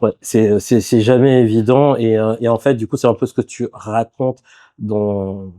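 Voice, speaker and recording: A man hosting a podcast interview, asking questions in a casual, relaxed way with many fillers. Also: lowish voice, normal speed. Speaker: podcast host.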